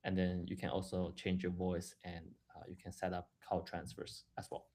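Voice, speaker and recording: Man, deep voice, software presenter, explanatory walkthrough, halting delivery. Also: completely flat delivery. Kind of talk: live product demo, explanatory walkthrough.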